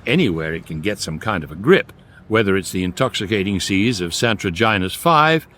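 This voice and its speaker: An actor playing a knowing guidebook narrator, droll and dry in scripted comic radio storytelling. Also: male voice, sits low, animated, brisk, a bit bright, a little hiss or hum.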